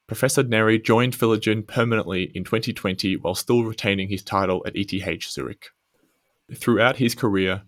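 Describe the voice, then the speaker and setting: A man with an Australian accent hosting a podcast, reading a formal introduction and asking measured interview questions. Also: fairly low pitch, a bit bright, no room sound, studio quality. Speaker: podcast host.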